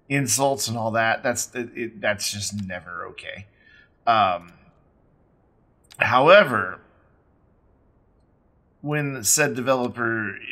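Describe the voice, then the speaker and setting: A man with a rough, raspy voice from being sick, talking casually as he plays a game, rambling with ums and blunt asides. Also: on the low side, sharp tone. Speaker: let's play host. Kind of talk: casual gameplay commentary.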